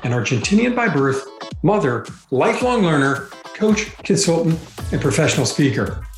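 A man's voice: on the high side, sing-song, moderate pace, faint music behind. A man hosting a podcast, reading a scripted introduction and then interviewing a guest. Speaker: podcast host.

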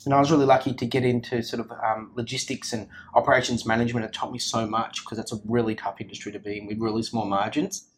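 A man's voice: mid-range voice, little pitch movement, quick pace, hardly any pauses, a little hiss or hum. An Australian man, a company founder, chatting energetically in an interview, rambling with lots of restarts and fillers. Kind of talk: casual interview chat. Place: office.

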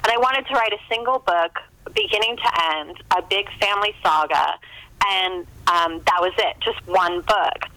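A woman's voice: mid-range voice, normal speed, pushed and bright. A middle-aged woman talking in a casual, chatty, self-deprecating way, as an interview guest on a phone call. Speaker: author being interviewed.

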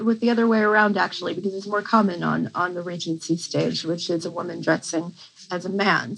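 A woman's voice: medium pitch, vocal fry, fairly bright tone, husky, rough recording. A woman professor giving a conversational academic explanation as an interview guest, with informal asides.

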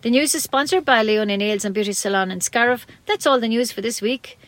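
A woman's voice: on the high side, brisk, fairly bright tone. A woman with an Irish accent reading scripted local news on community radio in a plain way, with the odd stumble.

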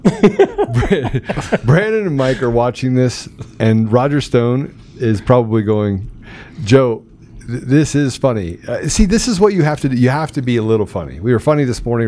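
A man's voice: mid-range voice, mellow. Male talk show host, noisy background. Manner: joking, teasing, often repeats himself. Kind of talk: casual live talk show banter with call-ins.

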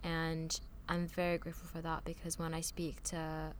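Young woman, from France, low voice, casual podcast storytelling, filler-heavy. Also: completely flat delivery, normal speed, slight background noise. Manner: chatty, lots of "like" fillers. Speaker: podcast guest.

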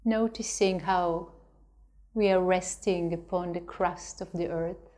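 Very slow-speaking woman guiding meditation. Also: lowish voice, steady tone. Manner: gentle, unhurried delivery with long pauses. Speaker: meditation teacher. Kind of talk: guided meditation.

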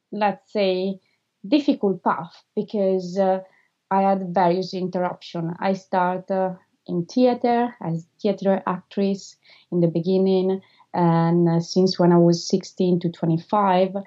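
A woman in her thirties from Italy with an Italian accent, answering interview questions as a filmmaker, pausing often with filler sounds and non-native phrasing. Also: medium pitch, mellow, highs cut off.

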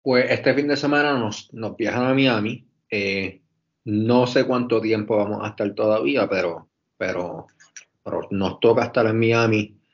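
Young Puerto Rican coach, low voice. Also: male voice, measured pace. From Puerto Rico. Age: young adult. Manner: earnest, repeats words as he speaks. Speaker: basketball coach and video coordinator. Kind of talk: interview answers. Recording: dull, muffled audio.

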